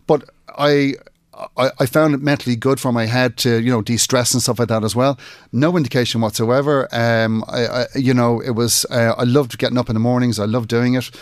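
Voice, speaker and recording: A man, a radio interview guest talking in halting bursts full of restarts and fillers. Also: mid-range voice, fairly even intonation, no room sound, clean studio sound. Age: 40s. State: emotional, voice breaking.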